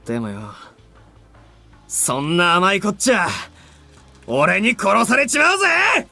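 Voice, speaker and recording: A man's voice: high voice, sing-song, normal speed, vocal fry, loud music behind the voice, full character voice. A man voice acting a cocky, battle-hungry young male rival in a visual novel. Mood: menacing, exhilarated.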